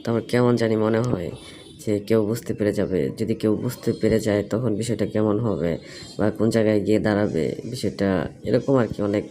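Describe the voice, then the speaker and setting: A man's voice: on the low side, quick pace, warm tone. A man in a casual phone conversation, asking probing questions and explaining himself at length. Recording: phone call recording with faint noise.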